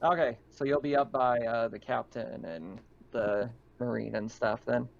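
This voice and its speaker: A man's voice: medium pitch, unhurried, vocal fry, muffled recording. A man running a tabletop roleplaying game as game master, narrating to players in second person in a conversational way.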